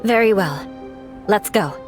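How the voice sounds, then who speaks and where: An actress plays a stern young noblewoman knight in a video game. She is haughty and formal, with a lively, mid-pitched voice and faint music behind. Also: a little slow, close to an everyday voice. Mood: composed, grudging.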